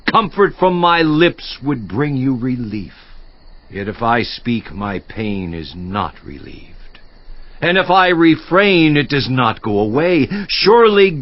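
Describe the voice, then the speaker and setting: An actor playing a grief-stricken, worn-out righteous man lamenting to God in a dramatized scripture audiobook, with anguished, drawn-out delivery. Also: a little high. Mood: bitter, weary. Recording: dull lo-fi audio, echoing room.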